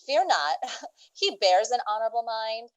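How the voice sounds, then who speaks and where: A woman playing a giggly young female outlaw with a slow valley-girl drawl. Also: a little high, animated, low-quality recording. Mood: teasing.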